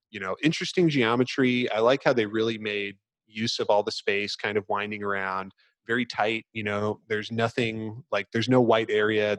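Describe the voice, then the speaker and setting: A man's voice: on the low side, a bit bright, no echo. A man hosting a casual video game discussion, explaining things in a loose, rambling, hesitant way. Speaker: podcast host.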